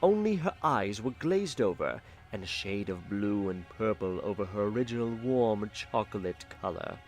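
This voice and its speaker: A man's voice: on the low side, animated, moderate pace, soft background music. A man narrating a fan audio drama in a theatrical, playful style with wry asides.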